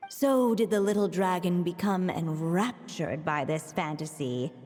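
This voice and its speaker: A woman playing a stately storybook narrator, telling a fairy tale formally and with measured delivery in a video game. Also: fairly low pitch, a little mellow, close to an everyday voice. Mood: solemn, measured. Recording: long reverberant hall effect.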